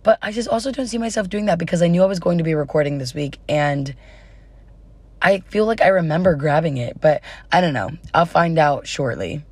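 A woman's voice: low-pitched, animated, fairly quick, husky, dry recording. A young adult woman hosting a casual solo podcast in an informal, chatty way, her voice slightly worn as if she is starting to lose it. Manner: informal, giggly, uses y'all. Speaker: podcast host.

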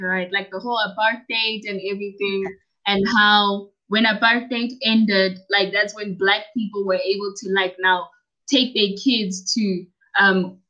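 A young woman from South Africa with a South African accent, a university student chatting casually as an interview guest, relaxed and quick to laugh. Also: mid-range voice. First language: Northern Sotho and Tsonga. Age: young adult. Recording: muffled lo-fi audio.

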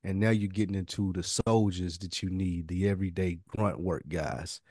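A man's voice: low-pitched, steady tone, normal speed, a little mellow. A man co-hosting a podcast in casual chat, slangy and emphatic, often repeating a phrase to drive it home. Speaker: podcast co-host.

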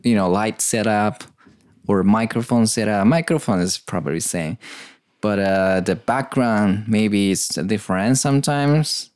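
A man's voice: on the low side, a little slow, darkish tone, clear, resonant tone. A man with a Japanese accent, a native Japanese speaker, co-hosting a casual bilingual podcast. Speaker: podcast co-host. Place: small makeshift studio behind a cafe.

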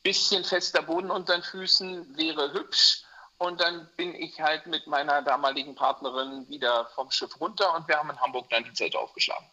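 A man in his forties from Berlin, a mortgage broker talking in a relaxed interview, chatty with many fillers and restarts. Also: a little high, moderate pace. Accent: Berlin German. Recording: muffled, lo-fi sound.

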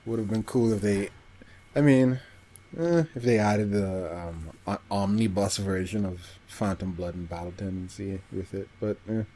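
A man with a low-pitched, dark and relaxed voice. He chats casually about pop culture as one of a podcast's hosts. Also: moderate pace. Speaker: podcast co-host.

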